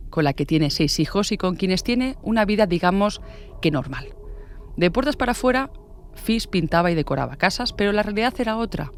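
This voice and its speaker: Low-voiced woman narrating true crime over ambience. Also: slight background noise. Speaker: podcast host and author.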